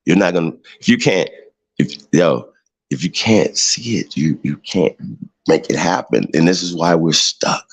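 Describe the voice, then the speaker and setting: Deep gravelly man, preachy and emphatic. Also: expressive, measured pace. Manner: emphatic, preachy, repeats himself with many "right?" tags. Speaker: show host. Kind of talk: heated livestream monologue.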